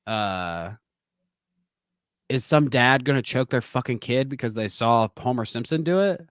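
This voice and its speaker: A man's voice: mid-range voice, lively intonation, a little slow, a bit bright, dry recording, studio quality. Laughing casual male podcast co-host. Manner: laughs often, swears casually. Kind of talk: casual chat.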